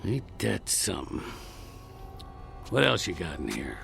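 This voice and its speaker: A hardened Old West killer for a radio play. The voice is low and creaky, with a Western American drawl. The talk is folksy, blunt and defiant, from someone wary and unrepentant. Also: male voice, wildly swooping pitch, measured pace, a bit bright, soft background music, heavily acted. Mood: curious, wary. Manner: folksy, plain-spoken frontier talk. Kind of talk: radio drama acting.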